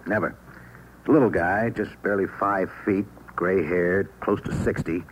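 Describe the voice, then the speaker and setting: A man's voice: low voice, normal speed, lightly acted. An actor playing an observant, composed American newspaperman in a 1950s radio drama, with clipped, matter-of-fact delivery. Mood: alert, matter-of-fact. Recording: muffled old radio recording, faint noise.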